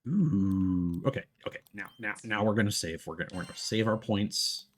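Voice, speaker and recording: A man giving casual live gameplay commentary as a streamer, often thinking out loud in a halting way. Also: on the low side. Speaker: game streamer.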